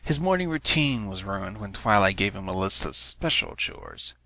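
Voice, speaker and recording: A man's voice: fairly low pitch, bright, forceful tone, no echo. A man giving a scripted narration of a story as an amateur reader. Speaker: amateur fan fiction reader.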